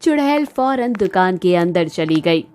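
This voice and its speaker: A woman's voice: fairly low pitch, lively intonation, measured pace, a little mellow, slight background noise. A woman narrating a scripted cartoon story as its storyteller.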